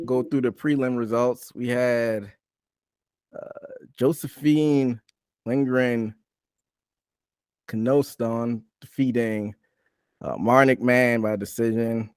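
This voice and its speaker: Male podcast host, conversational sports recap delivery, mid-pitched, very dry recording. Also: fairly even intonation, slow, pauses often, clean tone, dry recording. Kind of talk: casual sports recap talk.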